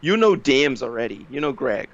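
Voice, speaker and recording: A man in his thirties with a Bahamian accent, a guest telling stories in an animated, slangy way and acting out the quotes. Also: mid-range voice, moderate pace. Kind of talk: casual storytelling chat. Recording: dry, slightly dull lo-fi audio.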